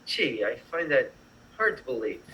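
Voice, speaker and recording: A man's voice: medium pitch, very animated, moderate pace, sharp tone, poor audio. A man playing a cynical, hard-drinking tax auditor in a screenplay table read, dry and sarcastic. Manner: dry, sarcastic, gruffly blunt.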